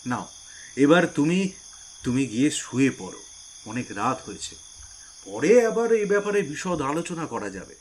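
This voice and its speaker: Man doing a scheming middle-aged uncle, slow deliberate speech, slightly raised voice. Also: fairly high pitch, big pitch swings, a little hiss or hum. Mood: smooth. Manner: slow, deliberate, drawn-out pauses. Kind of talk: audio drama acting.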